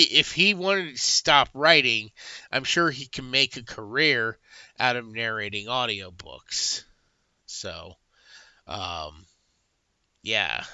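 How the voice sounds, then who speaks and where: Bright, rambling man reviewing books. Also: mid-range voice, smooth, no room sound, lo-fi sound. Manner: rambling, with frequent fillers and restarts. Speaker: book reviewer and YouTuber. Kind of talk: casual spoken review.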